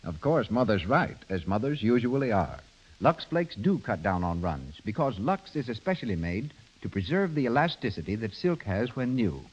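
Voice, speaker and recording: A man reading a 1930s radio advertisement as an announcer, with a husky voice. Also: on the low side, big pitch swings, moderate pace. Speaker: commercial announcer. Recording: dull 1930s radio broadcast recording.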